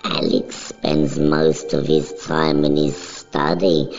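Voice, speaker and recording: Very slow, gravelly, low narrator. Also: little pitch movement, darkish tone. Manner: solemn, measured storytelling. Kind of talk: scripted dramatic narration of a motion comic. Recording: dull lo-fi sound, music underneath.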